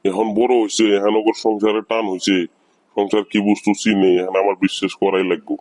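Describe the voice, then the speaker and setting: A man's voice: on the low side, little pitch movement, brisk, a little mellow, dry recording, lightly acted, low-quality recording. Man acting money-minded village man in a cartoon, dry sound. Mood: uneasy, musing. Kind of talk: cartoon comedy dialogue.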